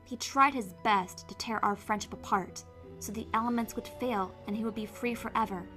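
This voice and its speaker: Woman as studious earnest pony heroine, highish voice, storybook audio drama with music. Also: normal speed, sharp tone. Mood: solemn. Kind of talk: scripted audio drama narration and acting. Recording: music behind the voice.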